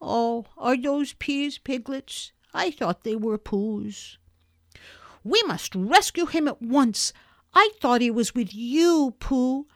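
A woman voicing an eager, caring young boy in a storybook reading, his speech high and earnest. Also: expressive, slow, a bit bright, dry recording, clean studio sound, exaggerated voice. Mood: urgent.

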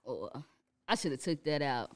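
A young woman with a Chicago accent, a rapper talking casually in an interview, relaxed and laughing often. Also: rumbling low, normal speed. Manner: laid-back, laughs often, many fillers. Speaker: rapper guest.

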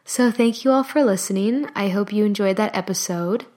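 A young adult woman hosting a solo motivational podcast, earnest and encouraging, her voice slightly hoarse from talking. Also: medium pitch, normal speed, a little mellow. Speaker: podcast host. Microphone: studio microphone.

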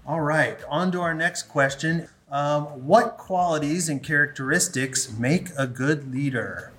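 A woman's voice: low voice, a little slow, a bit bright. A woman interviewing young students, encouraging and affirming as she moves from question to question. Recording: echoey room with music behind.

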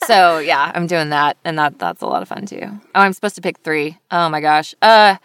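A woman voice actor with a lower voice, chatting in a lively, animated way about her craft and laughing often. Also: moderate pace, smooth, no echo, studio quality. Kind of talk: casual conversation.

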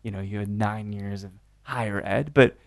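A man's voice: fairly low pitch, fairly even intonation, normal speed, gravelly, no echo, clean studio sound. A man hosting a casual interview, chatty and upbeat, often tagging his sentences with "right?". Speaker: host.

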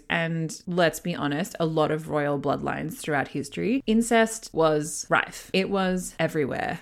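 A woman tells history in a casual, chatty way, dropping irreverent asides along the way. Her voice sits low and has a gravelly, fry-laden edge. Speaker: history podcast host. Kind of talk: casual storytelling about history.

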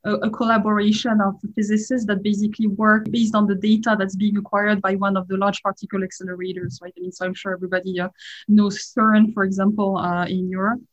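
A woman's voice: mid-range voice, level tone, fast talker. A woman in her forties with a French accent, a startup founder giving an interview and rambling with many fillers. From France. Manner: rambling, heavy use of "like" and "uh". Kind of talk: casual interview talk. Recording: dull, lo-fi sound.

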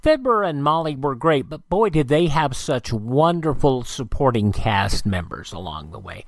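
A man's voice: mid-range voice, big pitch swings, normal speed, a faint crowd. A man presenting a radio show as its host. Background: music.

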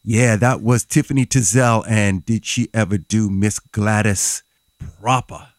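A man's voice: fairly low pitch, steady tone, a little slow, no room sound, clean studio sound. A man with an allergy-congested voice chatting casually and enthusiastically as the DJ of a music show.

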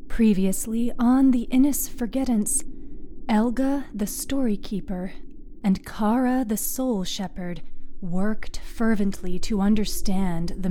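A woman narrating a scripted fantasy story in a solemn, dramatic manner with hushed phrase endings. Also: medium pitch, a little hiss or hum.

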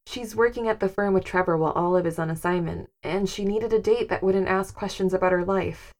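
A woman's voice: medium pitch, steady tone, fairly quick, natural voice. A woman playing a practical, guarded wife hosting guests in an audio drama, polite and matter-of-fact. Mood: explaining.

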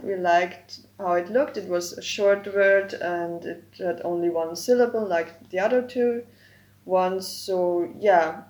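Slow, careful woman, German native speaker. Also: medium pitch, roomy sound. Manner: slow and careful, spelling out word forms. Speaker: guest, language project co-creator. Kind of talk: explaining grammar in a podcast interview.

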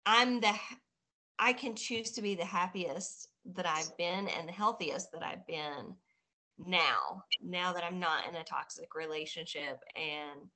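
Slow-talking bright-voiced woman in her forties. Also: lowish voice. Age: 40s. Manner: unhurried, reflective, many pauses and fillers. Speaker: podcast guest. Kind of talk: casual chat.

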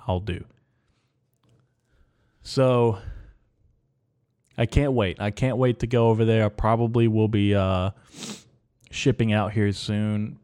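Low smooth-voiced man rambling deadpan. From Mexico. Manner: absurd dark jokes delivered straight, frequent ums. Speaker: podcast host. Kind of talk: rambling deadpan comedic monologue. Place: his bedroom.

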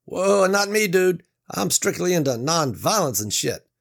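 A man's voice: sits high, big pitch swings, measured pace, creaky, fairly bright tone, voice pushed up, heavily acted. A man doing a crude, cocky workman. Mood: brash. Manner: slangy, brash. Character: crude cocky male factory worker.

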